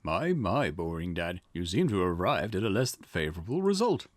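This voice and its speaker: A man's voice: low voice, big pitch swings, a little fast, dry recording, pitched below the performer's own voice. Man putting on a formal, mysterious storyteller, dry sound. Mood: knowing. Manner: theatrical, formal, knowing. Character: formal, mysterious male storyteller guiding the player.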